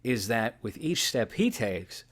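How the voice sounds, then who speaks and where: A man's voice: mid-range voice, big pitch swings, measured pace, fairly bright tone. A male podcast host with a mid-range voice gives casual film analysis. He explains things conversationally, assembling each point a piece at a time.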